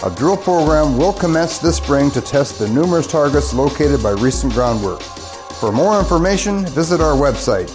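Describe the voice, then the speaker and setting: A man's voice: fairly high pitch, lively intonation, moderate pace. A man delivering a scripted corporate advertisement as the company's executive. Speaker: company president delivering sponsor ad. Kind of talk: scripted promotional narration. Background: music bed.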